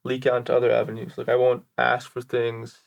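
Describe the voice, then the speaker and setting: A man's voice: mid-range voice, completely flat delivery, fairly quick, creaky. A man hosting a podcast interview in a casual, conversational way. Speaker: podcast host.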